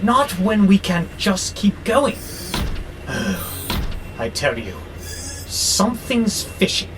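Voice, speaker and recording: Audio drama casting: male actor as sardonic, fed-up warehouse robot with revolutionary streak, animated melody, unhurried pace, noisy bed behind. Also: sits high, full character voice. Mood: scheming, indignant. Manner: exasperated, sardonic, theatrical grumbling. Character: irritable, rebellious male freight robot plotting revolution. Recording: clear steady background noise, vehicle-like hum.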